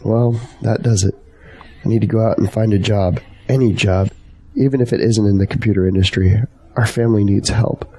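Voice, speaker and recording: An actor playing a devoted young adult son in an audio drama, sincere and plain-spoken, with a gravelly, husky voice. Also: male voice, fairly low pitch, steady tone, brisk, warm tone. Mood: earnest, resolved. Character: earnest, devoted young adult son, anxious for his family.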